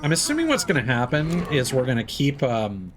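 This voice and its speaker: A man's voice: mid-range voice, wildly swooping pitch, a little fast, noisy background. A man streaming a game with casual commentary, thinking aloud as he plays.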